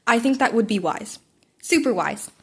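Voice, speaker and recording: A woman's voice: on the high side, big pitch swings, normal speed, vocal fry. A woman voicing a stern, exasperated male teacher, with blunt, incredulous questions, in a story reading. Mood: measured, concerned. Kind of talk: character dialogue in a fan fiction reading.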